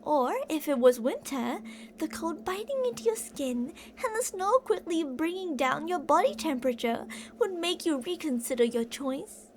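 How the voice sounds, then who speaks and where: Girl doing a possessive yandere kidnapper lady for asmr roleplay, high voice, swoopy and sing-song, sweetly threatening, bit of background noise. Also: lively intonation, moderate pace. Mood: musing, possessive. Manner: theatrical, teasing sing-song with endearments and threats. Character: older obsessive yandere woman kidnapper, possessive, menacing, sweet-talking.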